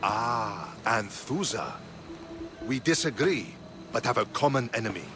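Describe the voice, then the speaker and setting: An actor playing an earnest, principled Spartan soldier in a video game, speaking in a measured, dramatic way. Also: male voice, mid-range voice, lively intonation, close to an everyday voice. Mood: earnest, resolute. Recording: music behind the voice.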